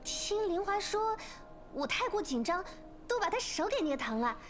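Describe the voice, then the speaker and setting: A woman playing a bubbly, excitable young woman in an anime game, telling things in an animated, cheerful way. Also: very high voice, moderate pace. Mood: wondering, eager. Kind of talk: scripted game dialogue in Mandarin.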